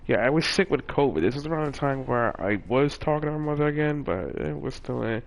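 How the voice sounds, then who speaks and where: A man's voice: mid-range voice, brisk, vocal fry. A young man casually telling a personal story in a rambling way, with many fillers and restarts. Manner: rambling, with frequent fillers and self-corrections. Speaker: vlogger.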